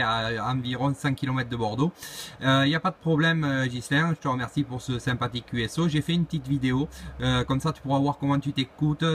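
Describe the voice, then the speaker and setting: A man working as an amateur radio operator, giving brisk contact reports over a radio transmission. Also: fairly high pitch, a bit bright. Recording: faintly noisy radio contact.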